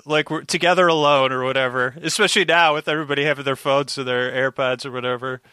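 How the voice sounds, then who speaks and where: A man as a podcast guest, chatting casually in a loose, halting, rambling way. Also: mid-range voice, fairly even intonation, sharp tone, clean tone, no echo, studio quality.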